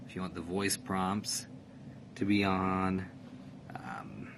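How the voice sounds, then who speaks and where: A man with a very low-pitched voice walks through a setup in a casual, plain way. He sounds like a product reviewer explaining each step, with clear background noise in the room. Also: animated, slow pace. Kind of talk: casual step-by-step tutorial narration. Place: indoors in a room.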